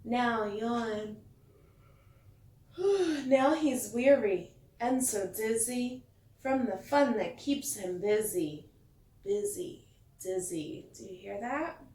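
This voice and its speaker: A woman with a fairly high voice reads a children's bedtime story at an unhurried pace. Her delivery is expressive and sing-song, leaning on the rhymes as a teacher would for young listeners. The sound is roomy and echoey. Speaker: teacher reading a picture book to children. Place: echoey indoor room. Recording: echoey room, distant mic.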